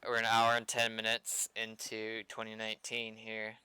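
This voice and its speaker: American guy streaming while he codes, really clear voice, takes his time, mutters to himself, close dry mic. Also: lowish voice, completely flat delivery, normal speed, sharp tone, dry recording, rough recording. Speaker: game developer livestreaming. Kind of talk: casual livestream chat, thinking aloud while coding.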